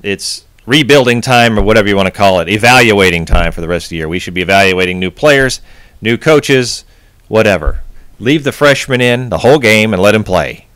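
Guy venting on his sports podcast, exasperated, lowish voice, dead dry room. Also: lively intonation, brisk, a bit bright, smooth, no room sound. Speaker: podcast host. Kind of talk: post-game sports rant.

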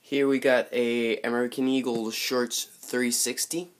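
A man's voice: mid-range voice, flat delivery, unhurried. A man from Montreal with a Quebec French accent, hesitantly showing off his model airplane collection in a casual vlog, full of ums and uhs. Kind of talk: casual show-and-tell vlog. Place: bedroom with model airport table, indoors.

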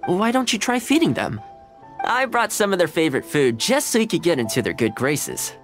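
A man's voice: high voice, very animated, normal speed, fairly bright tone, soft background music. An actor playing a friendly young man in an anime video game, cheerful, polite and laid-back. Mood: warm, pleased. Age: young adult. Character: friendly, easygoing young male anime housekeeper. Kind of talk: scripted video game dialogue.

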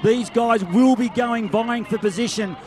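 A man's voice: very high voice, unhurried, slight background noise. A man giving live, chatty race commentary outdoors at a beach event. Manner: chatty, excitable.

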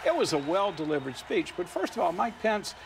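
A man in his fifties, a poll director and studio guest, explaining politics in a measured way, with fillers and restarts, on a TV studio couch and a lapel mic. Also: high-pitched, big pitch swings, vocal fry, background noise. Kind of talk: analytical studio interview. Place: TV studio set, seated on a couch.

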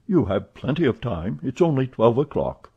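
A man voicing a suspicious adult detective who asks measured, probing questions, in a dull lo-fi recording. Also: mid-range voice, very animated, slightly rough. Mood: calm.

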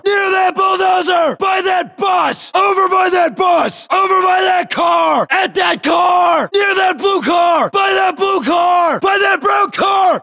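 An actor playing a tough, urgent US Marine in a video game, barking clipped battle callouts in an American accent. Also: male voice, very high-pitched, gravelly, exaggerated voice. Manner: barked, clipped, urgent shouting.

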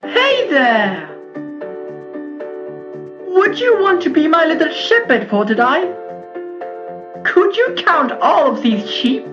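A woman's voice: high voice, sing-song, takes it slowly, pauses often, exaggerated voice. A woman voicing a sweet, encouraging cartoon sheep in a children's game. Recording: dull lo-fi game audio. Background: music.

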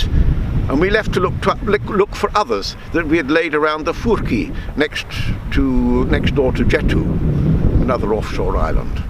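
A man in his seventies reading a written true story aloud in a measured way, now and then halting over words. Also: a little high. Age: 70s. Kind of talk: reading aloud a written memoir, with spoken introduction. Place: outdoors on a seafront. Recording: wind and sea noise on microphone.

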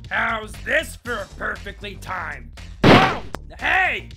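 Male cartoon crocodile detective played by a man: boisterous, boastful, animated yet drawn-out, loud music behind. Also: high-pitched. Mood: brash, indignant. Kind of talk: cartoon fan dub acting.

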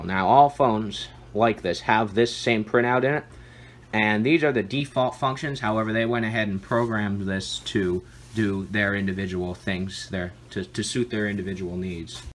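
A man's voice: on the low side, bright, forceful tone, low-quality recording. A man giving an informal, matter-of-fact demonstration of equipment as a video host, recorded indoors at home.

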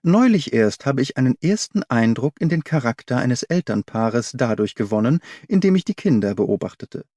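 A man's voice: medium pitch, very animated, normal speed, few pauses, dark tone, no echo. A man voicing a brilliant, confident detective in an audiobook, explaining in an assured, didactic way. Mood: assured, lecturing. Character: brilliant male detective, confident, analytical, commanding.

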